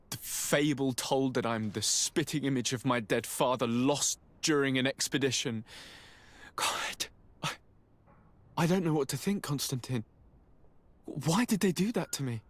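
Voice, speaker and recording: A male actor as a loyal young diplomat in a fantasy game. He speaks in a mid-range voice with measured, formal phrasing. He comes across as earnest, resolute and protective. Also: medium pitch, close to an everyday voice. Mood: hurt disbelief. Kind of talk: dramatic video game acting.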